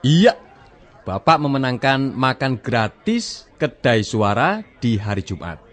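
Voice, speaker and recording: A man's voice: mid-range voice, big pitch swings, slow pace. A man playing a cheeky, brash café helper in a radio drama, teasing the guests informally. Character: cheeky male café helper handing out lunch vouchers.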